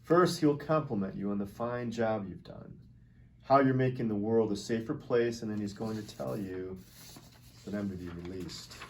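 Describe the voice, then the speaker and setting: A man's voice: on the low side, normal speed, darkish tone, natural voice. A man at a table read playing a cynical arms dealer who is casually, coolly defiant under interrogation. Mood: calm certainty. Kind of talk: acted screenplay table read. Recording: noticeable background noise.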